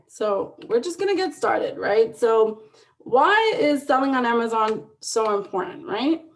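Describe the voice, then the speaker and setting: A woman's voice: a little high, creaky. A woman from the Dominican Republic, an online seller, giving an upbeat explanatory webinar presentation, often adding "right?". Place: video call.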